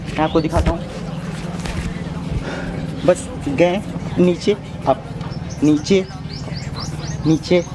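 Young male amateur fitness instructor, twenties, higher-pitched voice, casual step-by-step delivery, clear music bed underneath. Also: fairly even intonation, extremely slow, pauses often. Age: 20s. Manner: casual, instructive, repeats phrases. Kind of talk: workout demonstration talking to viewers. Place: outdoors in a brick-walled yard or rooftop. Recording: loud music behind voice.

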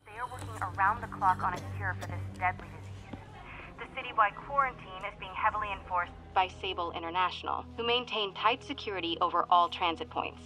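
A serious young TV reporter, acted by a woman for a video game. Her formal, measured delivery sits at a medium pitch with music underneath. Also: bright, forceful tone, natural voice, rough recording.

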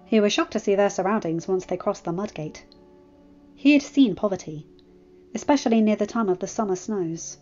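A woman's voice: mid-range voice, normal speed, darkish tone. A woman reading a story aloud as a scripted narration. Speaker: amateur fan fiction narrator. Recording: muffled lo-fi recording, music behind. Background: music.

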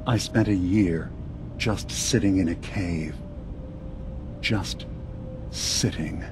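An actor playing an ancient, gentle android leader in a video game, speaking in measured, reflective phrases with weighty pauses. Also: male voice, sits low, background noise. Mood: calm, reflective. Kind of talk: video game dialogue acting. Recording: music playing behind the voice.

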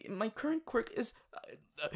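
A woman voicing an affectionate, excitable young male anime hero turned bunny in an ASMR roleplay, with a soft, hesitant delivery. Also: a little high, unhurried, exaggerated voice. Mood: amazed, excited. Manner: hesitant, giggly, swinging from murmurs to excited exclamations. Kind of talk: ASMR audio roleplay, acted monologue.

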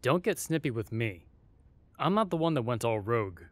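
A man's voice: medium pitch, sing-song, normal speed, no room sound, close to an everyday voice. A man playing a blunt, sardonic, needling male ally in an audio roleplay drama. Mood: blunt, challenging.

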